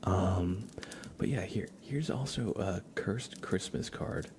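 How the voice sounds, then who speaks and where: A soft-spoken man hosting an ASMR livestream, chatting casually and often dropping into a whisper. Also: deep voice, slow pace, a little hiss or hum. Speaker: livestream host.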